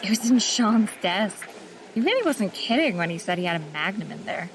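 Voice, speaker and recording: A woman's voice: medium pitch, very animated, normal speed, background noise, close to an everyday voice. An actress playing a shaken young female nurse, frightened and pleading. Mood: weary. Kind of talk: audio drama acting. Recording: strong music under voice.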